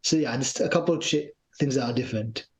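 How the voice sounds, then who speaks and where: A young man from Israel with an Israeli accent, a guest in a casual interview, relaxed and sprinkling in lots of fillers. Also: medium pitch, fast talker. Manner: relaxed, uses many fillers like "like". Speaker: guest, fitness trainer.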